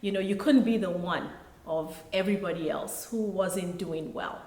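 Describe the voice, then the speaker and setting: A middle-aged woman from Nigeria, an author and pharmacist, telling her life story as an interview guest in a relaxed, reflective way. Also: lowish voice, normal speed. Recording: echoey room.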